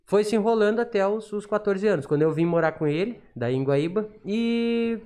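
Man in his thirties, gaucho southern Brazilian accent, fairly high voice, casual storytelling, podcast studio. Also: animated, moderate pace, mellow, clear, resonant tone. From Rio Grande do Sul, southern Brazil. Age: 30s. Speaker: podcast guest, self-taught accordion player and teacher. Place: small studio, indoors. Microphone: podcast microphone on boom arm, talking through cloth mask.

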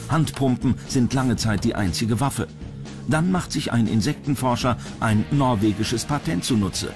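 Male narrator, standard German, mid-pitched scripted documentary read over music. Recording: music bed behind narration.

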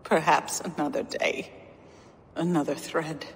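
An actress playing a solemn, reclusive giantess seer in a video game, speaking in a breathy, mystical manner. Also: female voice, sits low, fairly even intonation, a little slow. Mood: searching. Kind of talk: scripted video game dialogue. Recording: long cavernous reverb, faint ambient noise.

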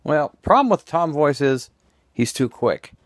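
A man giving an informal explanatory commentary, halting and emphatic, often restarting his phrases. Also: a little high, big pitch swings, no echo, clean studio sound. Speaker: video creator. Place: small room at home.

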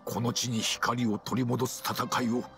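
Gravelly, stern old king. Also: medium pitch, level tone, a little slow, fairly bright tone, faint music behind. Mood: solemn, resolute. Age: older. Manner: pompous, archaic, commanding. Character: stern, dignified old king rallying his warriors. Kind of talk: dramatic fantasy game voice acting.

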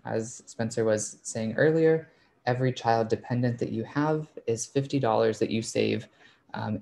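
Creaky-voiced man presenting a webinar. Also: fairly low pitch, dark tone. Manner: explains step by step. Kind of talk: informal explanatory webinar presentation. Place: online video call webinar.

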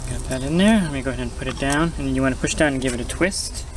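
A man narrates a hands-on tutorial in a plain, casual way, explaining each step as he works. His voice sits at a middling pitch. The recording is made outdoors, with clear ambient noise behind him. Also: fast. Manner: plain-spoken, step-by-step instructing. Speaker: how-to host, pool equipment installer. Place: outdoors at a small backyard equipment pad.

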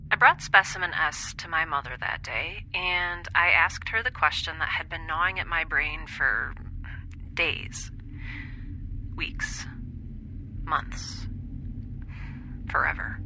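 A woman's voice: sits low, takes it slowly, pauses often, background noise. A woman playing a wry, introspective young scientist in space, speaking a hesitant, conversational audio drama monologue. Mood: pensive.

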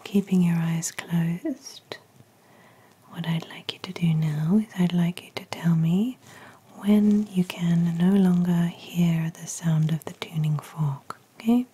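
Soft whispering woman giving gentle exam instructions. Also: lowish voice, fairly even intonation, slow, breathy edge. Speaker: ASMR artist playing an ear examiner. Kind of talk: ASMR medical exam roleplay. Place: small dim room indoors. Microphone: close-up microphone.

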